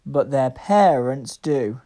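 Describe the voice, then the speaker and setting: A woman reading a picture book aloud to young children, with deliberate, expressive phrasing. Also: rumbling low. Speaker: children's story reader.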